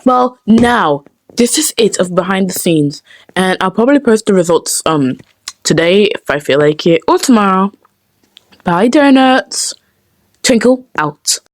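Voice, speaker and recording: A woman's voice: medium pitch, lively intonation, unhurried, no room sound. A woman chatting casually to her viewers as a video creator.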